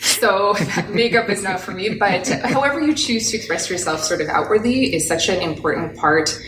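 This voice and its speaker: A woman artist being interviewed, answering thoughtfully and gently, with small hesitations. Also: mid-range voice, moderate pace, noisy background. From Canada. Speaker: guest artist. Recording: roomy, distant from the mic.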